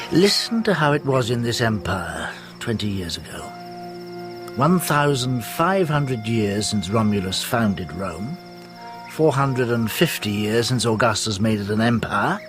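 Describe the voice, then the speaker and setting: An actor in a radio drama playing a learned older writer, gently philosophical, wry and a little hesitant. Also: male voice, medium pitch, natural voice. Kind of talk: radio drama acting and storytelling.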